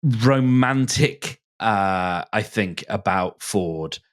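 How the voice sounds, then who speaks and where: A man with a fairly low voice in a casual podcast discussion. He feels his way through sentences, circling back and restarting as he looks for the right word. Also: animated, drawn-out words. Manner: hesitant, searching for words with repeated false starts. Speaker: film podcast host.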